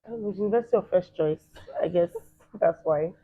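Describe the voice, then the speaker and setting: A Nigerian man in the entertainment field giving quick, emphatic opinions in a casual interview. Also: high voice. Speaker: guest, entertainer. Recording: thin phone-like sound.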